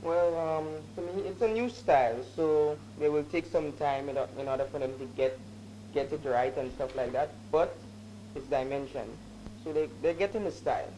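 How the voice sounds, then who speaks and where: A man's voice: a little high, moderate pace, dark tone, a little hiss or hum, poor audio. A young man, a senior band arranger giving brief, matter-of-fact interview answers. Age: young adult.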